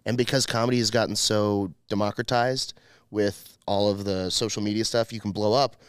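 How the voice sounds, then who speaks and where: Big-voiced young comedian, emphatic. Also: male voice, on the low side, fairly even intonation, normal speed, smooth, dry recording. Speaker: podcast host and comedian. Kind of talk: casual podcast chat.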